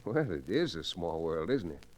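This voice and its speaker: An actor playing a measured, courteous frontier lawyer in an old Western radio drama. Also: male voice, deep voice, big pitch swings. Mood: courteous, thoughtful.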